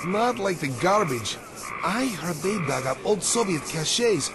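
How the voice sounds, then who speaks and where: A man playing a gruff, griping repairman in a post-apocalyptic video game, talking in a colloquial, grumbling way. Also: high-pitched, expressive, a little slow, noisy background. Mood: chatty, wry. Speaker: mechanic character. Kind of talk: video game character dialogue. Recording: music behind the voice.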